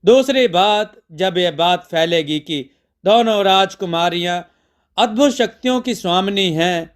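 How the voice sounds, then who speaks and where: A man narrating a scripted mythological tale as a storyteller, in measured, deliberate phrases with pauses. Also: high voice, clean tone.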